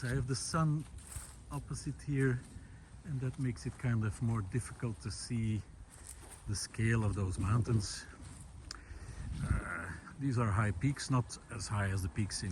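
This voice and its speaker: A man speaks thoughtfully as he walks along an outdoor forest path, his voice sitting at an ordinary middle pitch. He hesitates often, filling gaps with uhs and circling back on his words. A faint outdoor hush runs underneath. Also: noisy background. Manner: thoughtful, hesitant, many fillers and restarts. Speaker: vlog host. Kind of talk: reflective walking monologue.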